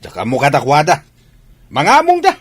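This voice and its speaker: A man playing a gruff, indignant older village father in an Ilocano radio comedy drama, exclaiming in disbelief. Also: fairly high pitch, wildly swooping pitch, normal speed, heavily acted. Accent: Filipino, Ilocano. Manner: exclamatory, incredulous.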